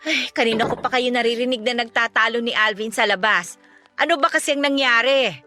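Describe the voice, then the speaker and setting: A woman playing a sharp-tongued, bossy, gossipy aunt in a Filipino radio drama, exclaiming bluntly with a Filipino accent. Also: on the high side, brisk, soft background music. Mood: indignant.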